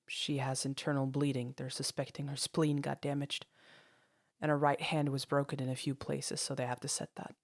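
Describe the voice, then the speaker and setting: Lady doing a kind deputy guy, low and gentle, matter of fact, audio drama. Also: flat delivery, normal speed, dry recording, studio quality.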